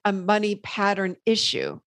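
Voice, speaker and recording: A woman's voice: mid-range voice, huge pitch swings, normal speed, no room sound, clean studio sound. A woman hosting a podcast interview, encouraging and affirming her guest as she asks questions. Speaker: podcast host. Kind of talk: interview host, affirming listener.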